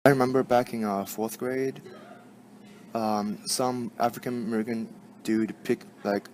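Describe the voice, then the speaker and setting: A man from Taiwan gives halting interview answers, searching for words. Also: mid-range voice, fairly even intonation, a little mellow, slight background noise, low-quality recording.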